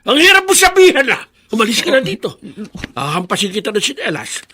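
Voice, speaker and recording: A man's voice: extremely high pitch, wildly swooping pitch, brisk, pushed and bright, heavily acted. An actor playing a stubborn, bitter old Filipino grandfather in a radio drama, grumbling and querulous. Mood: irritated. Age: older. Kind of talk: Tagalog radio drama acting.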